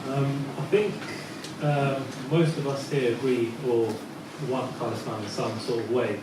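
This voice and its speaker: A man in the audience commenting tentatively, halting and soft-spoken. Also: mid-range voice, fairly even intonation. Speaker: audience member. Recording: echoey hall, far from mic, noise.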